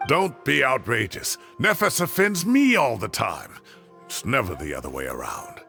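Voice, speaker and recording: An actor playing an elderly, retired professor in a video game, theatrical, proud and easily exasperated. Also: male voice, medium pitch, very animated, normal speed, vocal fry, pushed and bright, soft background music, heavily acted. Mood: blustering. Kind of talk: scripted game dialogue acting.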